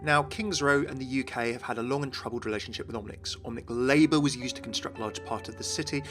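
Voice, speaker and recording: Guy doing game lore narration, talks really quick, keen, music under him. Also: mid-range voice, steady tone. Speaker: video game lore presenter. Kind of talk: enthusiastic scripted video narration.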